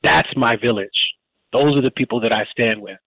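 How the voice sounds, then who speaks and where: A man's voice: medium pitch, normal speed, no echo. A man in his fifties hosting a casual community radio talk show, rambling with many fillers and restarts. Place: Baltimore, Maryland. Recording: dry, phone-like narrow band.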